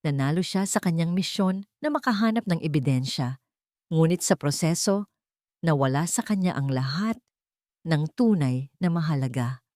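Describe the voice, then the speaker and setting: Casting: female storyteller for dramatic romance narration, notably low voice, close dry recording. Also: expressive, normal speed, a little mellow, no echo.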